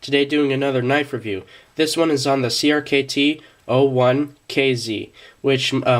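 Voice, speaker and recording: A young man, a bit sick, casually reviewing a pocket knife, with many fillers and restarts. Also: mid-range voice.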